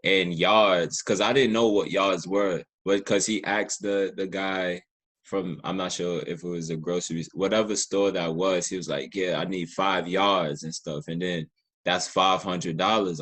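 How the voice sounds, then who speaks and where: A man's voice: low-pitched, animated, unhurried, a bit bright, breathy edge. A man hosting a casual interview, appreciative and repeating words for emphasis. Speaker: podcast host interviewer.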